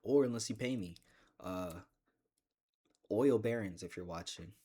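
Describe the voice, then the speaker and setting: Man, very low deep voice, casual gaming vlog chat, rambling and jokey. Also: measured pace, slightly rough. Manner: loose rambling, jokey, bursts of excitement. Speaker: gaming video creator.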